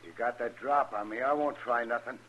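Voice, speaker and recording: An actor playing a nervous, evasive wagon driver in a radio western, with an American accent and a rustic, ungrammatical, protesting way of talking. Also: male voice, medium pitch, brisk, fairly bright tone, close to an everyday voice. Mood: defensive, wheedling. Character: nervous, evasive frontier wagon driver caught smuggling rifles. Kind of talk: 1950s radio western acting. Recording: muffled, noisy old radio recording.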